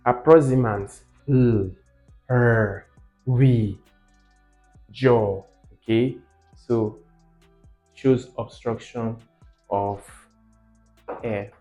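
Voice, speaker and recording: A man's voice: medium pitch, steady tone, drawn-out words, long pauses, lo-fi sound, soft background music. A man teaching informally as a video host, emphatic, repeating key words and putting rhetorical questions to the learner.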